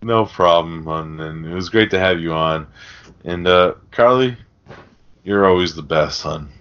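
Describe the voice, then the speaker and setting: A man's voice: deep voice, a little slow, smooth. A man hosting a casual podcast, addressing guests and listeners in an informal, chummy way. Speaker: podcast host. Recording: muffled, lo-fi podcast audio.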